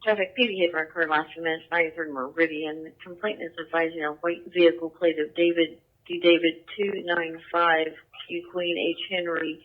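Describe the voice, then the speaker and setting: Male radio dispatcher, higher-pitched and even, procedural delivery through a narrow, tinny scanner. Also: moderate pace, hardly any pauses. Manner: matter-of-fact, spells out plates phonetically. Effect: police radio.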